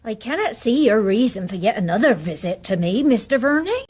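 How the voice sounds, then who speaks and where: An actress playing a bitter, evasive Victorian married Englishwoman in an audio drama, husky and defensive. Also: fairly high pitch, big pitch swings, fairly quick, a little mellow. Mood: indignant, defensive. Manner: indignant, defensive. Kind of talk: period audio drama acting.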